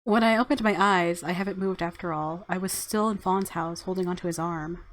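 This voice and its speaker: A woman's voice: fairly low pitch, brisk. A woman hosting a gaming video, reading visual novel narration expressively and adding casual commentary. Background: game music.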